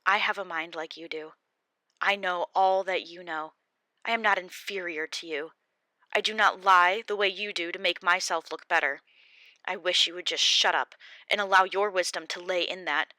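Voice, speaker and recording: A woman's voice: on the low side, steady tone, moderate pace, bright, forceful tone, no echo. A woman reading Job, an anguished defiant old man. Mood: impassioned defiance. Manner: impassioned, raised pleading delivery. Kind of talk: audiobook character reading.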